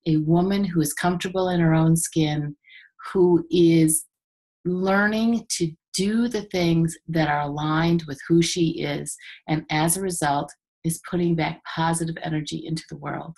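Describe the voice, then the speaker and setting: A woman's voice: fairly low pitch, a little mellow. An older woman hosting an interview show, opening with a scripted welcome and introducing her guest over a video call from her home. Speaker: host. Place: home room with framed painting. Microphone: video call webcam.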